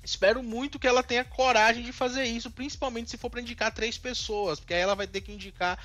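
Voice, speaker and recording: A man's voice: extremely high pitch, fairly even intonation, quick pace, a bit bright, soft background music. A man from Northeast Brazil, co-hosting a casual commentary chat and airing strong opinions with frequent restarts. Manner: talkative, many restarts, indignant opinions. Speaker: co-host.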